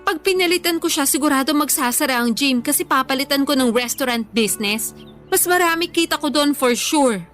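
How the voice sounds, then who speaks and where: A food-loving young Filipino woman with a very high voice. She is defensive and cheeky, bantering in radio drama style. Music plays behind her. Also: fairly quick, a bit bright. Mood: cheeky, stubborn.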